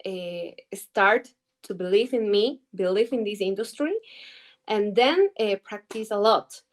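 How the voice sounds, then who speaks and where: Interview guest: woman in her twenties, Ecuadorian Spanish accent, non-native English, slow and halting. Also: medium pitch. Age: 20s. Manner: halting, searching for English words with many fillers. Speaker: guest trader. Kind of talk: interview answers over video call.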